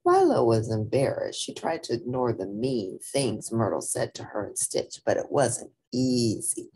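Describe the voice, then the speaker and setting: A woman reading a children's story aloud in an animated, dramatic, expressive narrating style. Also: a bass voice, a little slow, breathy edge.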